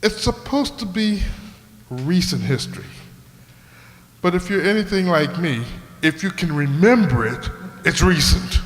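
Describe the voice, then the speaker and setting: A man hosting a radio players' show, giving a scripted introduction in a deliberate, showman-like way. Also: high-pitched, animated. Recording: echoey hall with long reverb.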